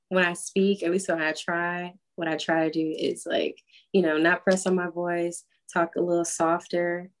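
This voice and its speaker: A woman singer answering interview questions in a relaxed, chatty way, laughing easily and using lots of fillers. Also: fairly low pitch. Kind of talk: casual interview chat. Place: Atlanta.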